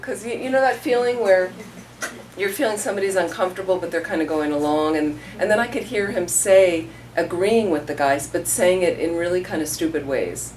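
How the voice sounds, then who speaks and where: A woman's voice: on the low side, brisk, darkish tone. A middle-aged woman giving an informal Buddhist talk, telling stories in a conversational way and acting out what people said. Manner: conversational, storytelling with acted-out quotes. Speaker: Buddhist teacher. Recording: distant mic with faint room noise.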